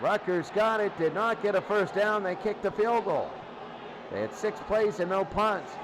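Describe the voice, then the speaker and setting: A man's voice: high voice, animated, normal speed. An American man calling a football game play by play as a live radio announcer. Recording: noisy game broadcast with crowd roar. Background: loud stadium crowd.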